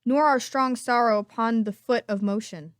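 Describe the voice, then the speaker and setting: A woman's voice: on the high side, moderate pace, dry recording, studio quality, lightly acted. A woman playing a wary, bereaved young prince with a guarded manner in an amateur Shakespeare reading.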